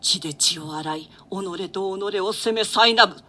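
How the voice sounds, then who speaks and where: Woman as an aged dowager, bright-voiced and theatrical. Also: mid-range voice, slow. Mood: anguished lament. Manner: theatrical, archaic old-woman diction. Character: aged royal grandmother, dignified, doting, world-weary dowager duchess. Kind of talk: classical stage play reading.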